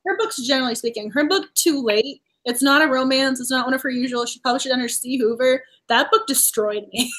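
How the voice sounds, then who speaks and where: A woman from the Boston area hosting a casual book chat over a video call, talking quickly through thoughts with frequent laughs and restarts. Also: sits high, a bit bright, poor audio. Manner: chatty, laughs often, restarts while avoiding spoilers. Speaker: host.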